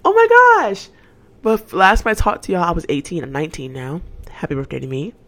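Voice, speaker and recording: A woman's voice: lowish voice, very animated, brisk, a bit bright. A nineteen-year-old woman chatting candidly on her own podcast, laughing easily and often trailing off and starting sentences over. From Atlanta, Georgia. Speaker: podcast host. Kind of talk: casual solo podcast chat.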